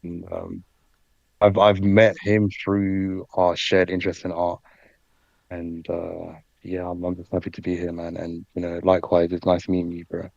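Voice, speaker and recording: A man who is a guest on a casual online chat, talking in a laid-back, halting way over a dull lo-fi mic. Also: low voice, monotone, vocal fry, darkish tone, no echo.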